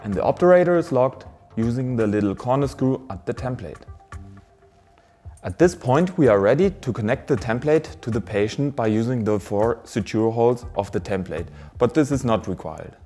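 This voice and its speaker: Mellow-voiced man, formal product presenter. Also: mid-range voice, lively intonation, soft background music. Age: 30s. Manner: formal, measured, step-by-step delivery. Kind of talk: scripted instructional narration.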